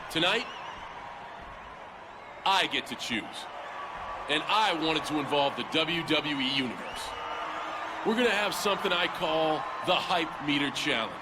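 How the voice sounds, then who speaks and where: A cocky wrestling champion. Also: male voice, a little high, background noise. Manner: cocky, playful trash talk. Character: brash male wrestling champion addressing the crowd. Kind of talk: video game cutscene dialogue. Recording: game audio with arena ambience.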